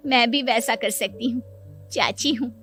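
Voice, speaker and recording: A woman playing a gentle, earnest, childless young wife and affectionate aunt in a moral story. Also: on the high side, unhurried, soft background music. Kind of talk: dramatised Hindi moral story acting.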